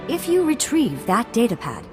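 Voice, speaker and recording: A woman's voice: fairly high pitch, wildly swooping pitch, normal speed, over music. A woman playing a proud young noblewoman in a video game, speaking formally and earnestly, with a haughty, scolding edge. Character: proud, prim young noblewoman, earnest heiress of a fallen house.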